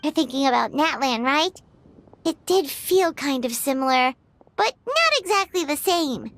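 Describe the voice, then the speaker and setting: A woman voicing a small, cheerful, chatty fairy companion in a video game, with a squeaky childlike voice and exclamatory delivery. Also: big pitch swings, a little slow, a bit bright, heavily acted. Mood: curious. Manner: bubbly, exclamatory, third-person self-reference. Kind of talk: video game cutscene acting.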